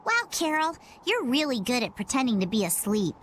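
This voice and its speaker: A small, childlike companion in a video game. It is very high and animated, full of enthusiasm, over faint background noise. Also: female voice, fairly quick. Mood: cheerful.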